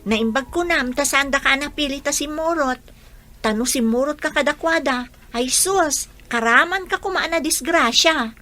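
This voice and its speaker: A woman playing a sensible teenage school friend in a radio drama, giving lively advice. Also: high voice, moderate pace. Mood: advising. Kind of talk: Ilocano radio drama acting.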